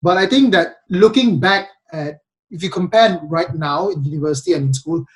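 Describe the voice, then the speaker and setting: A man's voice: sits high, lively intonation, normal speed. A young man from Malaysia hosting a casual podcast chat, speaking hesitantly with many restarts and ums. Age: young adult. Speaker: podcast host.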